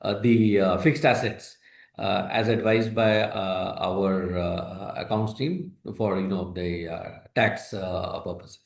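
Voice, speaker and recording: A man with an Indian accent answers investor questions as a company executive, explaining in a halting, hesitant way with broken phrases. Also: low voice, steady tone, vocal fry. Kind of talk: earnings call answers, explanatory. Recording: roomy echo on a conference call.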